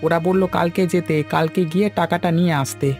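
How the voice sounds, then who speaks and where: High-voiced fretful cartoon father, acted by a man. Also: little pitch movement, fast, darkish tone, soft background music. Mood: weary, worried. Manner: plaintive, fretful. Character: worried, weary middle-aged shopkeeper father. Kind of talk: cartoon dialogue.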